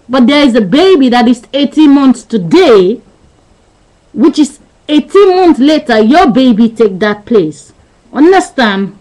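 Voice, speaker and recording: A woman's voice: high voice, darkish tone, poor audio. A woman in her thirties giving a rambling, repetitive philosophical monologue into a webcam, insisting that her listener understand. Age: 30s. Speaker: vlogger. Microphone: webcam mic.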